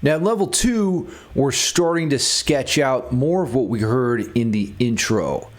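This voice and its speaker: A man's voice: mid-range voice, lively intonation, unhurried. A man giving a casual guitar theory tutorial, thinking aloud and enthusiastic about the material as he explains it. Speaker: guitar music theory teacher.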